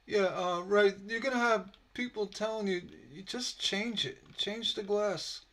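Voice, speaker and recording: Guy w a highish voice, bit hoarse, chatting loosely thru a live stream craft lesson. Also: measured pace. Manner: relaxed and rambling, many fillers. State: slightly hoarse from a sore throat. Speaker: stained glass instructor. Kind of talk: casual live-stream teaching. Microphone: computer mic on a live stream.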